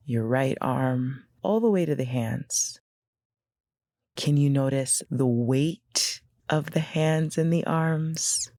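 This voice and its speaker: Female meditation guide: gentle and very slow, with noticeable vocal fry, recorded close and dry. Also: a bass voice, dry recording. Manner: gentle, unhurried, instructional with pauses.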